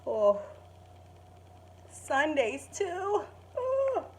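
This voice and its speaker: A woman teacher reading a children's story expressively, stretching words for emphasis and pausing to put questions to the listeners. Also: high voice, huge pitch swings, darkish tone, a little hiss or hum. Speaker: primary school teacher reading to pupils. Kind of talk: expressive children's story read-aloud with asides.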